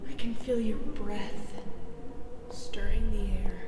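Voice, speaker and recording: A woman playing a menacing, mocking female monster in an audio roleplay, whispering and taunting in a deliberate way. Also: medium pitch, level tone, heavy background noise, lightly acted. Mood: quiet, eerie menace. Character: menacing, mocking modern Medusa, undying lonely female monster. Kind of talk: intimate audio roleplay, dramatic monologue.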